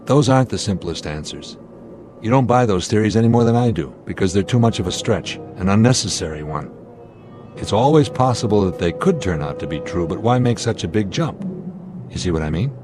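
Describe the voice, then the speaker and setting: An actor playing a steady, authoritative airline captain in a radio drama, reasoning deliberately and giving commands. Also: male voice, on the low side, over music, natural voice. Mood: patient, probing.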